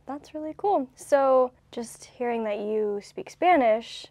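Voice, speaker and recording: A woman's voice: a little high, expressive, slow pace, a little mellow, no room sound. A woman hosting a podcast, asking questions in a friendly casual way. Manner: friendly, asks questions with fillers like "kind of". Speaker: podcast host. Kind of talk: casual interview chat.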